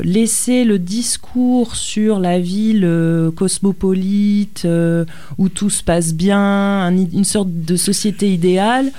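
A middle-aged woman historian, a guest on a radio interview, who explains at length with frequent thoughtful pauses and hesitations. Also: mid-range voice, warm tone. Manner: thoughtful, many hesitations with "euh". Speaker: historian, university lecturer.

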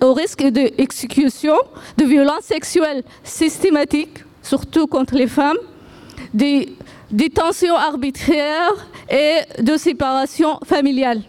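Slow, high-voiced Sudanese woman speaking French. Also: a little mellow. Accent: Sudanese Arabic. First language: Arabic. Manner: hesitant, halting, many fillers and restarts. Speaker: association representative. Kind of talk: public talk at a gathering.

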